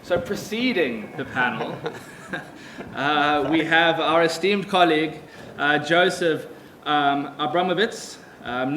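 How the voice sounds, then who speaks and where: A man from South Africa moderates a panel from a conference stage, speaking into a stage mic. His voice sits a little high, and he talks hesitantly, stopping and restarting often. Faint room noise hangs behind him. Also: a bit bright. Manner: hesitant, full of fillers and restarts.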